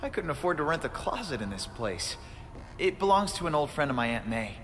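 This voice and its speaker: An actor playing a wisecracking young American superhero in a video game, earnest and restless, with a youthful voice and glib delivery. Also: male voice, on the high side, big pitch swings, fairly quick, a bit bright, long reverb tail, a little hiss or hum. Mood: breezy. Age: young adult. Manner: glib, quipping. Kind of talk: video game cutscene acting.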